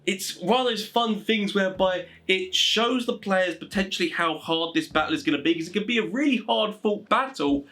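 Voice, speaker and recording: High-voiced man, chatty and rambling. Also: normal speed, few pauses, sharp tone. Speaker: host giving tabletop game advice. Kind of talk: informal advice talk.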